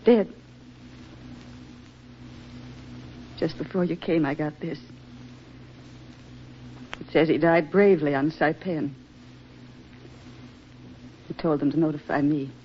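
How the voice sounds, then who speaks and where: A woman's voice: low voice, steady tone, a little slow, pauses often, a little hiss or hum. Lovelorn young woman, old muffled radio drama. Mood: grief-stricken. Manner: earnest, emotional, melodramatic delivery. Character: lovelorn, anguished young woman awaiting her soldier sweetheart. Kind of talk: 1940s radio drama acting. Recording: muffled vintage radio recording with music.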